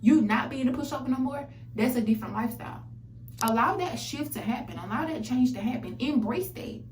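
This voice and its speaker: A woman's voice: fairly high pitch, a little mellow. A young woman giving a casual motivational monologue, blunt, profane and emphatic, repeating phrases for effect. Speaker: self-help vlogger. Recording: echoey, distant mic, background noise.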